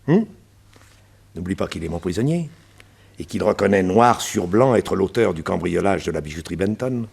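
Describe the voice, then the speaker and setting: A menacing crime boss for a radio drama. His voice is low and gravelly, with a rough husk to it. He gives orders and threats coldly, as one used to being obeyed. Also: lively intonation, moderate pace, darkish tone, exaggerated voice. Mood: cold menace. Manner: commanding, threatening. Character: menacing male gang boss, cold blackmailer.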